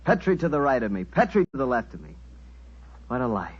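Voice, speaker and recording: Lively American 1940s radio announcer man. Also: medium pitch, brisk, slightly rough. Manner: folksy, upbeat salesmanship. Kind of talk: 1940s radio sponsor patter. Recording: muffled 1940s radio broadcast recording.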